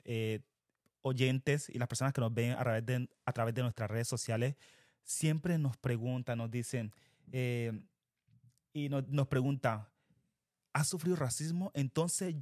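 A man's voice: mid-range voice, darkish tone, no room sound. Male podcast co-host, dry sound. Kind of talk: casual conversational interview.

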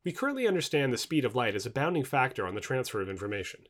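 A man reading scripted nonfiction as an audiobook narrator, with formal, measured enunciation. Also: mid-range voice, sing-song, fairly bright tone.

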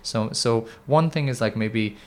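A man's voice: on the low side, a little slow. A man talking as a band member in a casual interview, rambling with many restarts and fillers.

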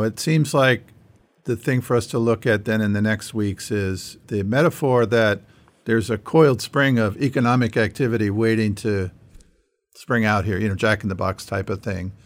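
A man's voice: on the low side, normal speed. A man hosting a conversational interview, thinking aloud as he builds long questions. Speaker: interviewer, host.

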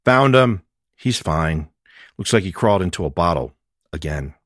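A man's voice: deep voice, animated, moderate pace, dry recording. Guy reading a no-nonsense lady cop in a mystery audiobook, dry humor, kind of caring. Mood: relieved, dry. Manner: matter-of-fact, dryly humorous. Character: tough, wry, caring female police detective.

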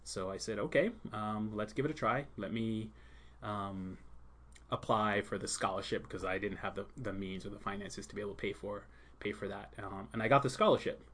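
A Jamaican-born man talking in a casual podcast interview about his path into teaching, in a soft, thoughtful way with many ums and pauses. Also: fairly low pitch. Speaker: school leader and art teacher.

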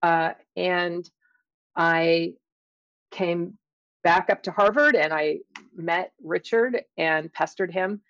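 A middle-aged woman speaking as an interview guest, explaining and telling her experiences in a thoughtful, halting way with drawn-out emphasis. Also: on the low side, fairly bright tone, no room sound, muffled recording. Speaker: interview guest, scientist and author. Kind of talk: conversational interview, personal storytelling and explanation.

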